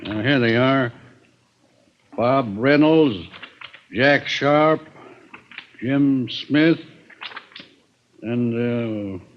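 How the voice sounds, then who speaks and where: An actor playing a cranky, fussy hotel clerk who is a stickler for rules, with a folksy grumble, in 1950s radio drama style. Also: male voice, medium pitch, very slow, long pauses. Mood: fussy, curious. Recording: noisy, dull 1950s radio recording.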